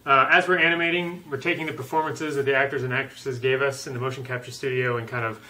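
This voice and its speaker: A man's voice: medium pitch, steady tone, fast, sharp tone. A man answering casually in an interview as an animator, explaining his work.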